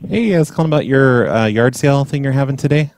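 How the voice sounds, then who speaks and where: A man making a prank phone call as an earnest caller, talking in a hesitant, rambling, deadpan way. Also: medium pitch, fast talker, slight background noise. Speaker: prank caller and podcast host.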